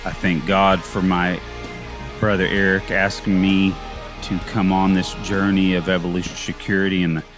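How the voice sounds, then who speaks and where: A man hosting a podcast in casual chat, speaking in an earnest, thankful way with frequent halting fillers. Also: sits low, dry recording, studio quality, big-room sound. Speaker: podcast co-host.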